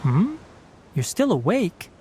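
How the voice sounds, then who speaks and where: Lively-toned young forest scholar. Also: male voice, high-pitched, background noise, heavily acted. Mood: practical. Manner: measured, explanatory, articulate. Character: calm, knowledgeable young male forest ranger scholar, dryly caring. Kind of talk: video game character acting.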